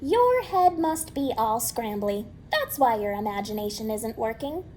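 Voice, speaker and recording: A woman playing an excitable little girl. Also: on the high side, unhurried, a little hiss or hum. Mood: earnest. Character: excitable imaginative young girl.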